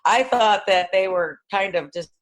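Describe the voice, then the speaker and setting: A woman's voice: mid-range voice, unhurried. A woman co-hosts a casual podcast discussion in a mid-range voice. She talks informally and bluntly, rambling through her points. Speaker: podcast co-host.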